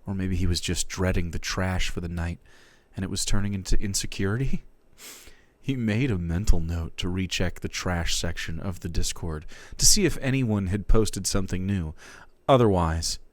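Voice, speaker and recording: A man streaming, reading fan fiction aloud in a theatrical, comic way, shifting between hushed and loud. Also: low-pitched, normal speed, no room sound.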